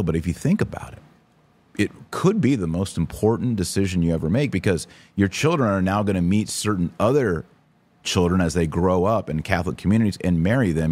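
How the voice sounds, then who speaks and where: Male podcast host, low register, informal and opinionated, casual livestream delivery. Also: darkish tone. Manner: informal, opinionated, emphatic, slangy. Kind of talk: casual livestream commentary, answering viewer comments.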